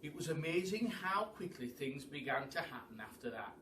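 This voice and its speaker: A man reading a children's story aloud as a storyteller, in an animated way that speaks straight to his listeners. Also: on the high side, normal speed. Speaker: teacher storyteller. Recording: echoey room, distant mic, faint noise.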